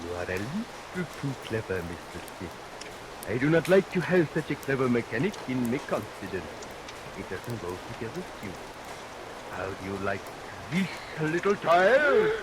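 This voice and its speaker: An actor playing a menacing, murderous barber in a theatrical, sneering, mock-polite vintage radio melodrama style. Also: male voice, mid-range voice, very animated, normal speed, pauses often, natural voice. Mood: sinister, threatening. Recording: noisy vintage radio recording.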